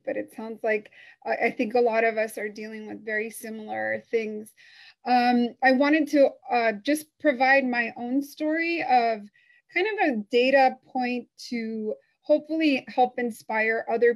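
A woman in her thirties from El Salvador, a foundation board member, telling her personal story openly over a video call with frequent pauses and fillers. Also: on the high side. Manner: open and conversational, frequent uh and um.

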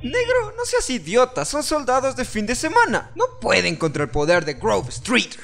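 A man's voice: extremely high pitch, wildly swooping pitch, normal speed, creaky, a bit bright, faint music behind, exaggerated voice. A man with a Latin American Spanish accent voicing a cocky, abrasive young gangster in a fan-dubbed crime game, brash, slangy and boastful. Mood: bossy, agitated. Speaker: fan dub voice actor.

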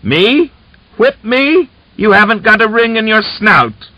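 A man voicing a defiant middle-aged Cossack widow who mocks a commander in shrill, scornful shouts. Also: sing-song, slow, dry recording, dull audio, full character voice. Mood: scornful shouting.

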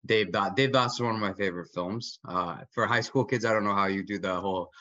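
A man's voice: on the low side, fairly quick, pushed and bright, no room sound. A young man in his twenties, an actor, asking an eager, winding question over a video call from a home kitchen. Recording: dull, dry video-call audio.

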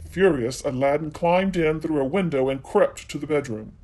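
A man storyteller reading a children's tale aloud in an animated narrating style. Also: fairly high pitch, normal speed.

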